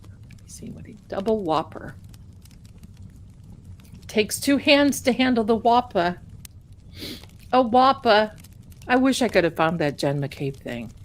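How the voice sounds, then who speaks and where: A woman's voice: medium pitch, expressive, measured pace, pauses often. Chatty woman live-streaming. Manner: chatty and rambling, calls viewers "my darlings". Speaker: tarot reader hosting a live stream. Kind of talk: casual live-stream chat.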